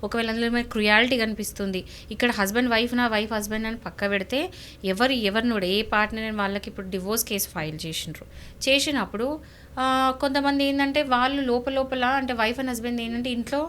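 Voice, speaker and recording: High-voiced woman, Telangana Telugu, noisy recording. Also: steady tone, quick pace, a bit bright. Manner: emphatic, repeats points, rhetorical questions. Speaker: lawyer, guest legal expert. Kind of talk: explaining legal advice conversationally.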